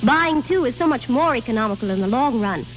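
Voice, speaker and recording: A woman's voice: on the high side, animated, fast talker, close to an everyday voice. An actress playing a breezy, self-assured young salesgirl in a 1930s radio commercial. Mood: cheerful, proud. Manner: breezy, confident. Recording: muffled, hissy old radio broadcast.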